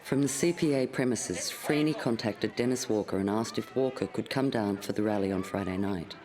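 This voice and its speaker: A man's voice: fairly low pitch, moderate pace. A man reading out official reports as a scripted documentary voice-over. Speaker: reader of intelligence files.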